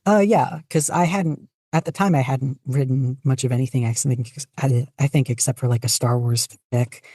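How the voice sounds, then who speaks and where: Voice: medium pitch, fairly even intonation, moderate pace, warm tone, no room sound, studio quality. A podcast guest chatting casually in an interview, hesitant and self-deprecating. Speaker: podcast guest, fan fiction writer and podficcer.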